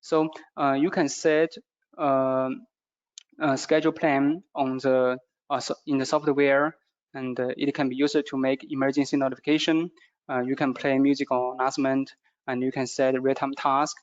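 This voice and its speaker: A man with a non-native English accent gives a product training presentation, speaking haltingly and repeating phrases as he goes. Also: medium pitch, little pitch movement. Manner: halting, repetitive phrasing with stumbles. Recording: dull, muffled lo-fi audio.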